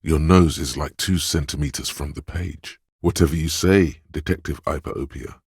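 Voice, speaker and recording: An actor voicing the observant inner voice of a detective in a game, speaking in second person with wry, dry teasing. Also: male voice, a bass voice, moderate pace, darkish tone, slightly rough, no room sound, full character voice. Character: inner perceptive voice, observant adult male.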